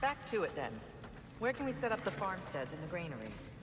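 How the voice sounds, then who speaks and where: A woman playing a proud, stern middle-aged noblewoman in a fantasy video game, speaking in a formal, firm, measured manner. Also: on the low side, very animated, background noise. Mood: firm, guarded.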